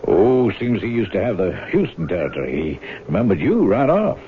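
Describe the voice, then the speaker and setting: An actor playing a lecherous, sly older farm brother with drawling, insinuating folksy talk in a radio drama. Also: male voice, fairly low pitch, sing-song, brisk. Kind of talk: 1970s radio drama acting. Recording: tinny, noisy old radio broadcast.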